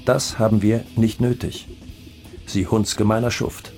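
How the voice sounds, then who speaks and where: An actor playing a defiant, self-assured drug cartel boss in a German radio docudrama, with a threatening, declamatory delivery. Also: male voice, lowish voice, flat delivery, a little slow, a little mellow. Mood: defiant, commanding. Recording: voice over prominent guitar music. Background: guitar music.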